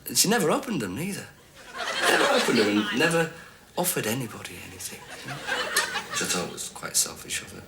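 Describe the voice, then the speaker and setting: A man's voice: medium pitch, sing-song, fast talker, creaky. Wry young Yorkshire man, rambling. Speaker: game show contestant. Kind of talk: recorded date recap interview. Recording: noisy 1980s TV recording.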